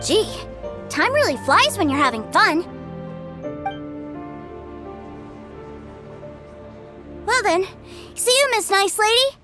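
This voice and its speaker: A woman's voice: very high voice, big pitch swings, normal speed. A woman voicing a cheerful, innocent little boy with an earnest, childlike manner in a video game. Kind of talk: English game dub acting. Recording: background music under the voice.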